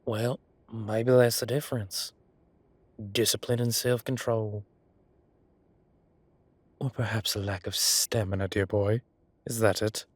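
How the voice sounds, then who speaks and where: A man's voice: lowish voice, flat delivery, slow, long pauses, no room sound. A man playing a flamboyant, mischievous male boss in a roleplay audio drama, with an arch, playful, theatrical way of talking. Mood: goading.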